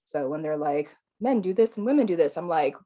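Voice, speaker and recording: A non-binary teacher with a female-sounding voice, leading a live online qigong class in a calm, soothing, chatty way with fillers and light laughs. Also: low voice, brisk.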